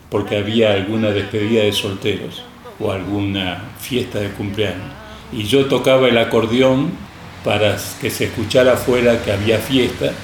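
Older man reminiscing, deep and roomy. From Spain. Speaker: interview guest, record label founder. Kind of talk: storytelling interview.

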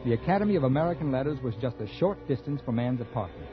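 Brusque, animated actor as callous writer. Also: male voice, medium pitch, racing, music in the background, natural voice. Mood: cool narration. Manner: brusque, cool, dismissive. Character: callous, conceited male writer, brusque and evasive. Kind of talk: 1940s radio drama acting and first-person narration. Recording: dull 1940s radio recording.

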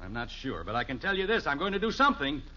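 A grumbling American suburban dad in a vintage radio sitcom. His fairly high, forceful voice swings widely with wry exasperation, heard through a dull old recording. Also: wildly swooping pitch, fast, exaggerated voice. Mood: indignant. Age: middle-aged. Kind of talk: 1950s radio comedy acting.

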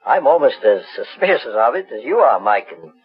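An actor playing a courteous, composed master investigator in a 1940s radio drama, speaking deliberately and politely. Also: male voice, mid-range voice, sing-song, natural voice. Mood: curious. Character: kindly, measured, courteous male master investigator. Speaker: actor, lead in a detective radio serial. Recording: muffled 1940s radio recording.

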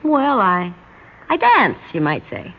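A woman's voice: medium pitch, wildly swooping pitch, slow pace, full character voice. An actress playing a weary, lonely woman with a wry, self-deprecating, evasive manner, in 1960s radio drama style. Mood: weary, candid. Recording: dull, noisy 1960s radio recording.

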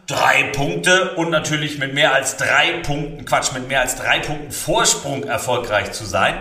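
A man's voice: medium pitch, a little slow, pushed and bright, reverberant, recorded from a distance. A man from northern Germany co-hosting a podcast, giving opinionated football takes and correcting himself as he goes. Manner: opinionated, self-correcting, joking. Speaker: podcast host. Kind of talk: casual football betting chat.

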